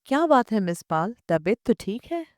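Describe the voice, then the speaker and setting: A woman's voice: on the high side, sing-song, normal speed, a little mellow, dry recording, studio quality. A woman voicing a concerned male friend in an audiobook story, questioning and advising sensibly. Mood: concerned, reasoning. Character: concerned, reasoning male friend, gently dissuading.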